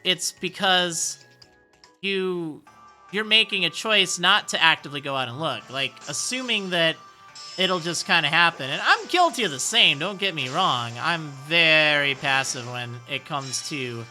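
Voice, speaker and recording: A man with a high, youthful-sounding voice streaming a game, reading viewer messages and answering with rambling encouragement. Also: animated, unhurried, pushed and bright, soft background music. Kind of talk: casual live-stream chat.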